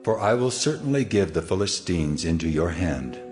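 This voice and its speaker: An actor playing God as a calm, authoritative male voice, pronouncing solemnly in scripture drama. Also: deep voice, moderate pace, faint music behind. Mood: assured.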